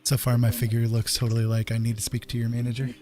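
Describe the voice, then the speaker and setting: A man hosting a casual livestream as he paints, talking in an easygoing, halting, rambling way. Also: mid-range voice, completely flat delivery, warm tone. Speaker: streaming digital artist and host. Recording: music playing under the voice. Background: background music.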